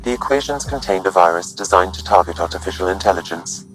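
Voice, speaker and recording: An actor playing a calm, logical male artificial intelligence in a video game, measured, formal and precise. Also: deep voice, soft background music, poor audio. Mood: clinical. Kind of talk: video game dialogue acting.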